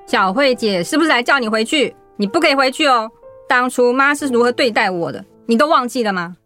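A woman's voice: a little high, animated, a little fast, soft background music. A woman playing a resentful, stubborn wife in a radio drama, speaking insistently. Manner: sharp, insistent.

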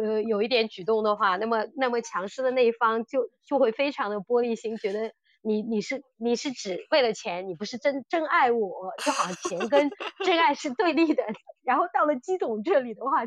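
A woman co-hosting a casual podcast, speaking haltingly and repeating phrases as she builds her point. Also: on the high side, no room sound. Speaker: podcast host. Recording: slightly dull, dry audio.

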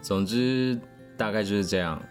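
Casting: actor as blunt, awkward young man, deep voice, radio drama over music. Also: moderate pace, close to an everyday voice. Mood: casual, hesitant. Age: young adult.

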